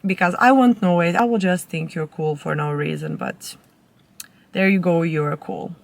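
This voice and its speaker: A woman's voice: on the low side, sing-song, moderate pace, creaky, slight background noise. A young woman, a native Serbian speaker with a Serbian accent, chatting casually to camera in an informal, rambling way as a vlogger. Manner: informal, rambling with self-corrections.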